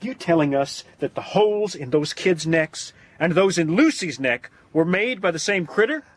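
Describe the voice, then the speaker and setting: An actor playing a brash, hot-blooded American adventurer in an audio drama, with an American accent and a husky voice. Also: male voice, on the high side, animated, normal speed. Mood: blunt, incredulous.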